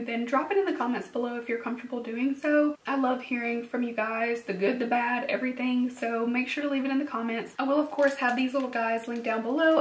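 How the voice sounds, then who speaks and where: A young woman speaks straight to her viewers in a soft, earnest way, her fairly high voice worn and close to cracking. She fills pauses with ums and quick little laughs. The sound bounces around a bare room and she sits a little back from the microphone. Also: reverberant, distant mic, poor audio. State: losing her voice, slightly cracking. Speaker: beauty vlogger. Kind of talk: personal vlog talking to camera.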